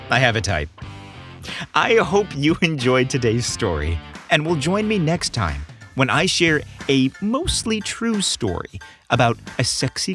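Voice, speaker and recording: A man's voice: medium pitch, fairly bright tone. A man narrating a scripted story as a podcast host, in a teasing, seductive and confiding manner.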